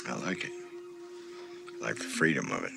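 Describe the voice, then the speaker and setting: A gravelly, world-weary border agent. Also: male voice, a bass voice, huge pitch swings, a little fast, music in the background, heavily acted. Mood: reflective. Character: jaded middle-aged male border patrol agent. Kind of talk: film dialogue.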